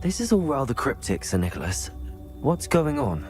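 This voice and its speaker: A young man acting a schoolboy hero in a video game. He is courteous, inquisitive and to the point, with lively intonation in an everyday voice over clear music. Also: medium pitch, moderate pace, lightly acted. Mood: curious. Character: polite, direct, curious male teenage student.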